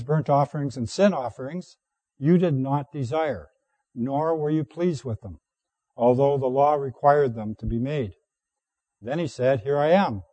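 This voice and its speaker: Hoarse preacher with a dark voice. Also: male voice, a little high, no room sound, low-quality recording. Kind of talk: sermon with scripture reading.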